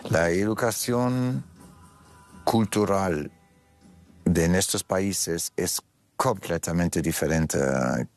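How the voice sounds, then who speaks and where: Very deep-voiced German man, emphatic. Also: takes it slowly, pauses often, soft background music. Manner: emphatic, repeats phrases, frequent restarts. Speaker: choreographer. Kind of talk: interview answers.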